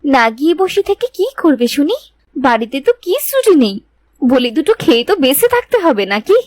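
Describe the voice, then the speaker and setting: A woman's voice: sits high, sing-song, moderate pace, darkish tone. A woman playing a fed-up, nagging and sarcastic village wife in a cartoon. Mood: scolding. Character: fed-up, scolding wife of a poor fisherman. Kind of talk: Bengali cartoon acting.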